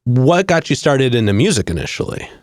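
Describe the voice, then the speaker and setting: A man's voice: medium pitch, sing-song, brisk, a little mellow, no room sound, clean studio sound. A man hosting a casual music podcast, upbeat and chummy, with slangy reactions. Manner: upbeat, chummy, slangy ("rad", "hell yeah"). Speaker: podcast host.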